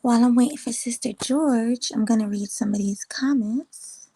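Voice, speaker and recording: A woman hosting a casual morning livestream, chatting and reading viewer comments, her voice a little raspy this morning. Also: a little high, moderate pace, mellow, poor audio. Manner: chatty, rambling, teasing viewers. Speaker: livestream host.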